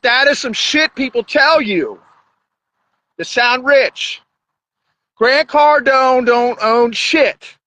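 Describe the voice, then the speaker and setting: A man's voice: very high-pitched, pushed and bright, no echo. A man talking in a blunt, crude, rambling monologue as a podcast host. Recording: dull lo-fi sound.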